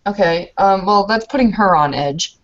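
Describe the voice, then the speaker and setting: A woman's voice: medium pitch, normal speed, muffled recording. A woman narrating her character's actions in a tabletop roleplaying game, informal and hesitant as she improvises.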